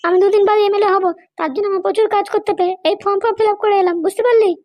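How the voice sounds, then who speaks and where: A performer voicing a scheming, haughty woman politician in a cartoon comedy, bossy, scolding and fond of sharp questions. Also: extremely high pitch, fairly even intonation, rapid-fire, no echo. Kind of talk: amateur cartoon comedy dialogue.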